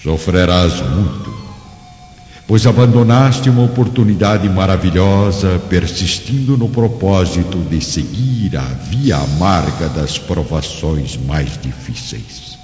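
Brazilian man as stern otherworldly judge, radio drama, solemn, drawn-out phrases, dark tone, long reverb. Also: deep voice, expressive, faint music behind. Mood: stern, grave. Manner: grave, solemn, drawn-out pronouncements. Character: stern spirit judge of the soul's tribunal. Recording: dull audio with long reverb.

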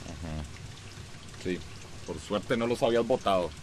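Irritable, domineering Costa Rican husband. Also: male voice, deep voice, unhurried, a little hiss or hum. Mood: curt. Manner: curt, scornful, bossy. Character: irritable, domineering husband, dismissive of his wife. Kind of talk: radio soap opera acting.

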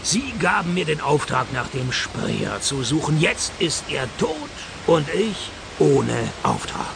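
A man playing a cynical, deadpan private detective and narrator in a radio play. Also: on the high side, slow pace, vocal fry, background noise, exaggerated voice. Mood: dry, sardonic. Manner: deadpan, sardonic.

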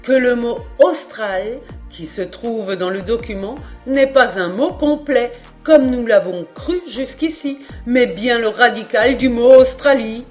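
A woman voicing a confident, excitable French male geographer in an emphatic, declamatory storybook manner. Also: a little high, unhurried, soft background music, pitched above the performer's own voice, natural voice. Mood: insistent. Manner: emphatic, declamatory, self-assured. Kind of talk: expressive audiobook character reading.

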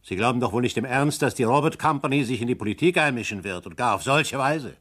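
An actor playing an indignant company director who brushes off accusations in a radio play. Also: male voice, mid-range voice, animated, fast talker, a bit bright. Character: stiff male company director Dr. Lanning, dismissive. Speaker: head of a robot company. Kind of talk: radio drama acting.

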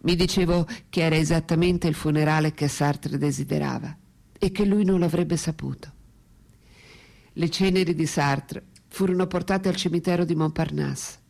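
An actress playing a philosopher's lifelong companion, a woman writer in a radio drama, speaking quietly with composed, deliberate gravity and tenderness. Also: deep voice, steady tone, creaky. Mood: restrained grief. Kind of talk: radio drama acting and intimate narration.

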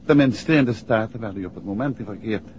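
An actor playing a self-assured, wry detective husband with a brisk, teasing manner, in 1960s radio drama style. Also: male voice, lowish voice, close to an everyday voice. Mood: matter-of-fact. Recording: dull, noisy 1960s radio recording.

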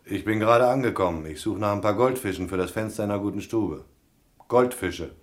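An actor playing a hard-boiled, laconic detective in a noir radio drama, narrating in a terse, deadpan way. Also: male voice, fairly low pitch, fairly quick, close to an everyday voice. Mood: terse, guarded. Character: hard-boiled male private detective, laconic and world-weary.

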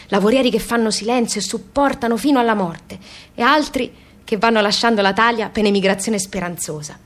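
An actress voicing a pompous, unschooled emigrant with a southern Italian accent, reciting a grandiloquent letter full of mangled formal words in a radio play. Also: female voice, a little high, a bit bright. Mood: mock-solemn. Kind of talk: theatrical comic recitation.

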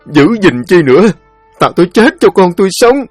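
A man's voice: high voice, sing-song, a little fast, no room sound, faint music behind, pitched above the performer's own voice. A man playing an old father who wails in anguish and rage over his murdered son. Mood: wailing. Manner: wailing, anguished, raging.

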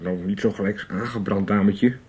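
A man playing a smooth-talking, pushy and flirtatious sales manager in a radio soap, with a slick, familiar manner. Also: fairly low pitch, steady tone, normal speed, a little mellow, natural voice. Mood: flirtatious, teasing. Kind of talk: 1990s Dutch radio soap acting. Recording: roomy, off-mic, noisy radio drama scene.

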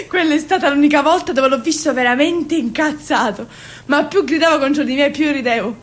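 A woman's voice: high voice, animated, moderate pace. An actress playing a naive, devoted Sicilian woman in an emotional stage monologue, with a Sicilian accent and a repetitive, childlike delivery. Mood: fond, amused. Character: naive, devoted Sicilian woman, fiercely attached to her cousin.

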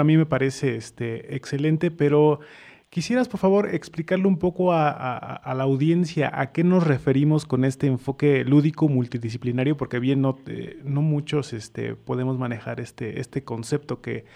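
A Mexican man speaks as the host of a radio interview. His voice is medium in pitch with plenty of vocal fry. He talks conversationally, circling hesitantly around a point before arriving at his question. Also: warm tone.

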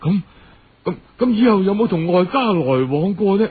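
A man voicing a kindly, emotional old uncle in Cantonese storytelling, sighing, halting and exclaiming as he speaks. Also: sits high, breathy edge, narrow, tinny audio. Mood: urgent questioning.

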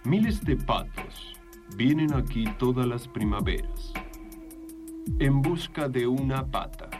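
A man puts on a solemn documentary narrator for a comedy sketch. He speaks gravely and with measure at a medium pitch, with music clearly playing behind him. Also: expressive. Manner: grave, measured, mock-serious.

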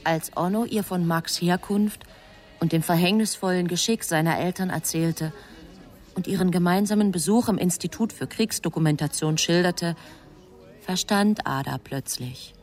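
An actress as a composed female storyteller, giving deliberate scripted narration in a literary radio play. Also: lowish voice, a little mellow.

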